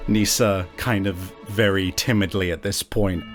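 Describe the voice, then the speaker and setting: A man's voice: lowish voice, fairly even intonation, unhurried, soft background music. A man narrating a tabletop roleplay as game master.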